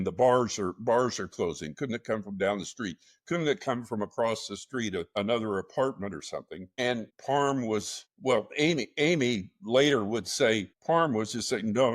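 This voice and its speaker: A low-voiced man in a true crime podcast, walking through a case step by step. He talks in a measured, halting way, often pausing and restarting his sentences. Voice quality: low-pitched voice. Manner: measured, halting retelling with frequent fillers and restarts. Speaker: podcast host.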